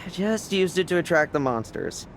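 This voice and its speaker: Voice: mid-range voice, lively intonation, normal speed, a little hiss or hum, natural voice. An actor playing a cocky, wry young knight in a video game, with a sardonic, nonchalant delivery. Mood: wry, casual. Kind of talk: dramatic game voice acting.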